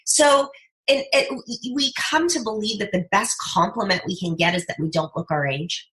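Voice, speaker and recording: A woman's voice: medium pitch, moderate pace, fairly bright tone, rough recording. A woman in her fifties, a speaker and brand coach, talking in an emphatic, persuasive way as an interview guest. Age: 50s. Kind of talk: podcast interview conversation.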